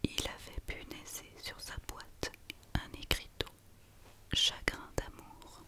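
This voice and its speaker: A woman whispering a children's story in a breathy, gentle, soothing ASMR reading. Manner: slow, soothing, gentle delivery.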